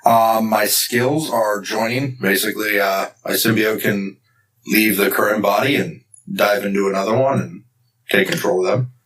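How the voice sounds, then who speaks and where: A man's voice: fairly low pitch, moderate pace, a bit bright, echoey room. A man playing a tabletop game, casually introducing and explaining his character to the group. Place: indoor room, group around a table. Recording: distant mic with faint noise.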